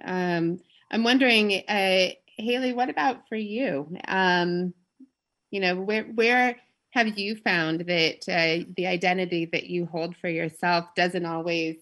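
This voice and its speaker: A woman's voice: fairly low pitch, slow, a bit bright. A woman moderating an unscripted discussion, putting questions in a hesitant, reflective way. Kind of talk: unscripted interviewing.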